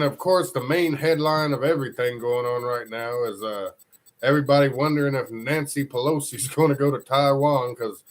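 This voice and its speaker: A congested man hosting a casual live news stream, rambling loosely and greeting viewers from the chat. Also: mid-range voice. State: congested from a cold.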